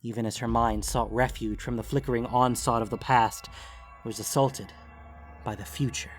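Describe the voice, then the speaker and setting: A man's voice: medium pitch, steady tone, normal speed. A man giving a solemn, theatrical narration of a dramatic fantasy story. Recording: music under the voice. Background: music.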